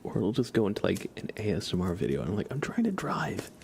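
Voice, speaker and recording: A man hosting an ASMR livestream, chatting softly and casually in a hushed, unhurried way that often slips into whispering. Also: lowish voice, wildly swooping pitch, gravelly, a little hiss or hum. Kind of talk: soft ASMR livestream chat.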